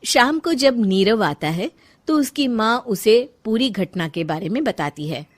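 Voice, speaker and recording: A woman narrating a scripted story for an animated moral tale. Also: a little high, a little slow.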